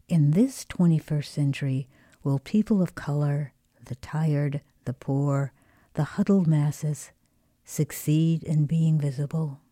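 A woman with an American accent reads literary prose for radio. Her voice is low and very mellow, and her reading is dramatic. The recording is close and dry. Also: no echo.

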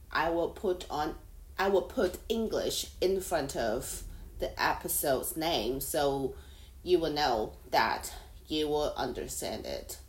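Slow-talking woman in an echoey room. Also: low-pitched. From Taiwan. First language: Mandarin. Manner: rambling, chatty, halting second-language phrasing. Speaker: podcast host. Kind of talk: casual solo podcast monologue. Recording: roomy echo.

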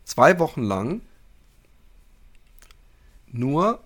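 A man's voice: medium pitch, sing-song, very slow, a bit bright. A man hosting a casual podcast, chatting in a joking, colloquial way with friends. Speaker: podcast host. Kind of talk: casual bantering podcast chat.

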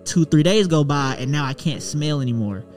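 A man's voice: on the high side, lively intonation, normal speed, darkish tone, faint music behind. A young man, a rapper, chatting casually and telling a long story in a loose, profane way. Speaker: rapper guest. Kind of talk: casual chat.

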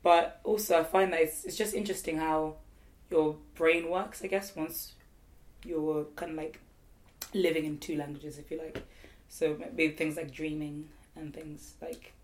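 A woman's voice: low-pitched, steady tone, measured pace, darkish tone. A woman from Zimbabwe musing on a podcast, thoughtful and hesitant, with many fillers and restarts. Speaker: podcast co-host. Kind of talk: casual group chat.

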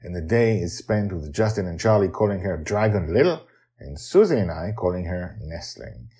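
Very animated, low-pitched male storyteller. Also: normal speed. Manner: dramatic, emphatic. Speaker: podcast host and storyteller. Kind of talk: expressive storytelling and podcast narration.

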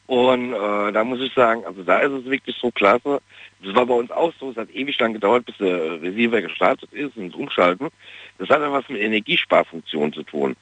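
Caller, man with a regional German dialect, lowish lively voice, slightly husky, muffled phone line. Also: brisk, no room sound. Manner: enthusiastic, many "äh" fillers and "ja?" tags.

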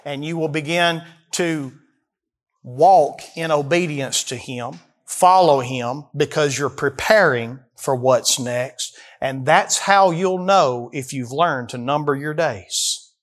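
High-pitched Southern man preaching. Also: slow pace. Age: early 40s. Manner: folksy, emphatic, rhetorical questions to listeners. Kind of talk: expository sermon, reading verses and explaining them.

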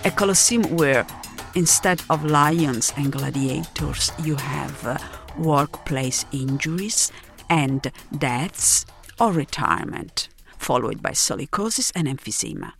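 A woman's voice: deep voice, measured pace, creaky, fairly bright tone. Reflective Italian-accented woman narrating over music. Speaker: narrator of an audio drama. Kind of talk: reflective, poetic scripted narration. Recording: music behind the voice.

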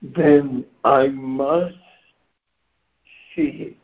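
A man losing his voice gives a reflective spiritual share on a group call, measured and clearing his throat now and then. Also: mid-range voice, fairly even intonation. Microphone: phone on a conference call. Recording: dull lo-fi conference call line.

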